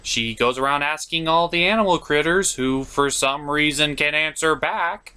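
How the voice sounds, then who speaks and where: A man's voice: fairly high pitch, normal speed, pushed and bright, smooth. A man narrating a comedic film review in a sarcastic, exasperated manner.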